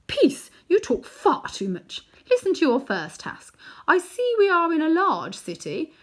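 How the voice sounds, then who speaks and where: A woman reading aloud, voicing a cold, imperious queen witch from a storybook in a loud, commanding tone. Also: extremely high pitch, wildly swooping pitch, pitched above the performer's own voice, full character voice. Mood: scornful commanding. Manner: commanding, scornful, slow and forceful.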